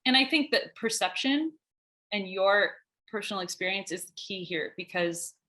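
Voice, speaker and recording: A woman's voice: mid-range voice, animated, normal speed, sharp tone. A woman who is a guest on a talk show, speaking thoughtfully and working through her points in an orderly way. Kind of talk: casual conversational interview.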